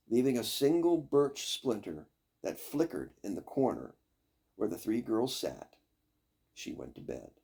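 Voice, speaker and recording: An older man reading a fairy tale aloud as a storyteller, with expressive, deliberate, dramatic phrasing. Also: fairly low pitch, vocal fry, breathy edge.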